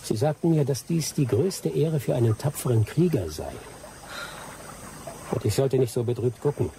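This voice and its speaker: A man's voice: medium pitch, lively intonation, moderate pace, gravelly, dark tone, a little hiss or hum. An actor playing a seasoned, teasing male jungle adventurer with dry humour in a German radio drama. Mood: haunted.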